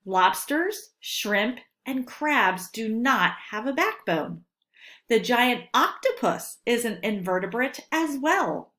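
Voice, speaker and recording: A woman teacher reading a lesson aloud to children, speaking deliberately and instructively and stressing key words. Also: on the high side, sing-song, a bit bright. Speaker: elementary school teacher.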